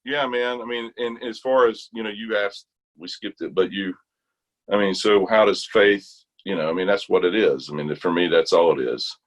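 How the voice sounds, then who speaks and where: Low-voiced American man, informal and rambling. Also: normal speed. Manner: rambling, informal, thinks aloud in broken phrases. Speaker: interview guest, bass gospel quartet singer. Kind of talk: casual interview chat.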